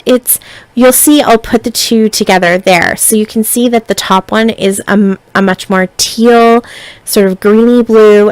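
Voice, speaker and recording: A woman narrating a scrapbooking tutorial in a relaxed, chatty way, sounding a little stuffy as she gets over being sick. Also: medium pitch, no echo, poor audio. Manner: relaxed, chatty step-by-step explaining. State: stuffy, recovering from illness. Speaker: scrapbooking designer.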